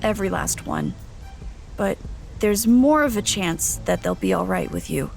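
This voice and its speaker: Wary small human traveler. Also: female voice, medium pitch, lively intonation, gravelly, darkish tone, soft background music. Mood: measured narration. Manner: hesitant, questioning in dialogue, measured when narrating. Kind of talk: audio drama first-person narration and dialogue.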